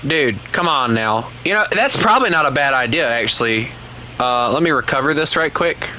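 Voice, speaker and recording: A man's voice: medium pitch, normal speed, a little hiss or hum, low-quality recording. A man with a Southern US accent, casually commenting to viewers while playing a truck game. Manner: relaxed, chatty, talks to viewers as he plays. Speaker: game streamer.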